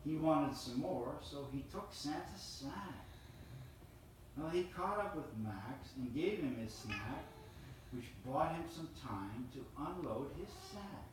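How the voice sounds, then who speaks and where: A man reading a rhyming holiday poem aloud in a steady, measured way. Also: a little high, a little hiss or hum. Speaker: storyteller reading a Christmas poem. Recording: roomy, distant mic, faint ambience.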